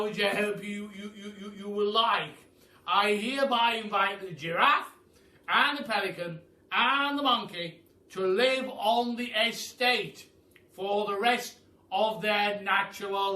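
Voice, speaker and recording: Storybook Duke: old, pompous, generous, loud and high-pitched, in an echoey room. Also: male voice, heavily acted. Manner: pompous, emphatic, hesitating with uhs. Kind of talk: children's storybook read aloud. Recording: echoey room, distant mic.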